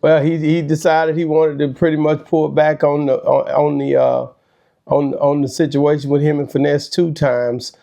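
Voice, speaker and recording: A man's voice: fairly high pitch, level tone, moderate pace, gravelly, warm tone. A man hosting a talk show with casual, conversational commentary.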